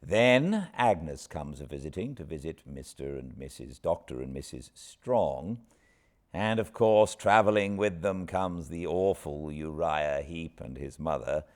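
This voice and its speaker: A British man hosting an informal book recap with an expressive storyteller's delivery. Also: extremely low pitch, unhurried. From Britain. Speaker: host, audiobook narrator.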